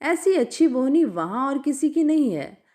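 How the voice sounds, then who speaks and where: A cajoling betel-seller woman from a storybook tale, acted out by a woman narrator. She talks in a high voice with lively swings. She is flirtatious, chatty and stubbornly insistent. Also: normal speed. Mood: wheedling, insistent.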